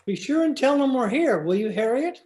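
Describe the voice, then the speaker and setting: A woman's voice: high voice, animated, brisk, warm tone. A woman playing a mild, hesitant, deferential schoolteacher in an old-time radio comedy style. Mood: hesitant, apologetic. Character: timid, mild-mannered, earnest schoolteacher.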